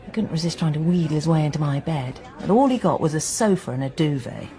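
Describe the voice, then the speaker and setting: A woman's voice: deep voice, fast, warm tone. An actress playing a frank, inquisitive woman in a radio drama, chatty and emphatic as she confides and questions. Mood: confiding.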